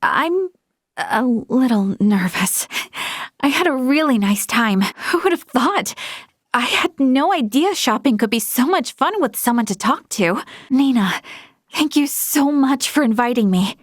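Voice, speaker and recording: A woman's voice: high voice, expressive, unhurried, no room sound, clean studio sound. Earnest, modest young female space officer. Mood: bright, nervous. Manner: polite, self-effacing, sincere. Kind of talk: video game voice acting.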